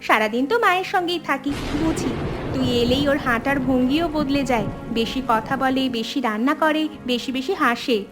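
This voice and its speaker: Cartoon younger sister, played by a woman: high voice, feisty village girl grown up, outspoken, quick to protest, music behind. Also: lightly acted. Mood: earnest. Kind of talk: animated family drama dialogue.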